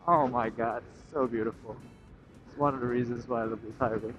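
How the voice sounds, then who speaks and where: A man's voice: mid-range voice, steady tone, a little fast, over music. A man chatting casually and thinking aloud as he rides a motorcycle, recorded on a helmet intercom mic. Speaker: motorcycle vlogger. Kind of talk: unscripted casual chat while riding. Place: outdoors on a moving motorcycle, inside a helmet. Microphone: helmet intercom microphone. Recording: muffled helmet mic, loud wind and traffic.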